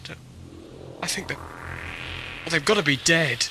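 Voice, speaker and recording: A man's voice: a little high, slow, loud music behind the voice. A man playing an anxious, defeatist space traveller in an amateur 1980s audio drama. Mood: alarmed. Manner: urgent, defeatist. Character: anxious, gloomy male space traveller, quick to despair.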